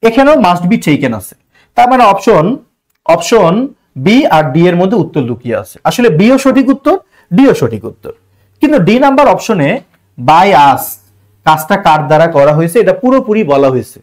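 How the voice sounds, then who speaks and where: High-pitched, animated Bangladeshi male teacher. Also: fairly quick. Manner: colloquial, rhetorical questions, repeats key phrases. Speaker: online English grammar teacher. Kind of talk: exam-prep lesson, explaining multiple-choice questions.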